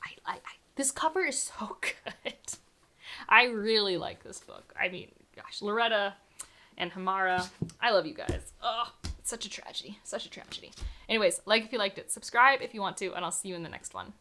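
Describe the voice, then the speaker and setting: A woman's voice: mid-range voice, brisk, sharp tone. A woman book vlogger chatting casually to camera, enthusiastic and full of "like" fillers. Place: home room surrounded by books.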